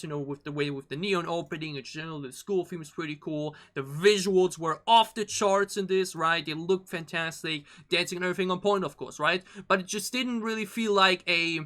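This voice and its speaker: Bright, high-pitched man reacting on camera. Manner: excitable, rambling, repeats phrases. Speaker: host of a K-pop reaction channel. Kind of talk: casual reaction commentary. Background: pop music from the video being watched.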